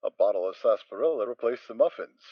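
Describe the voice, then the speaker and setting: Expressive male story narrator. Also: on the low side, fast talker, no room sound. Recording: dry, dull sound.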